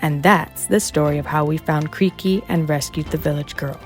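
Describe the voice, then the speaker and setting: A woman's voice: sits low, fairly even intonation, moderate pace, soft background music, close to an everyday voice. A woman voicing a small, boastful, easily miffed male dragon telling a tale from a children's storybook in a theatrical, self-important way. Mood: dramatic storytelling. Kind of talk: children's storybook character reading.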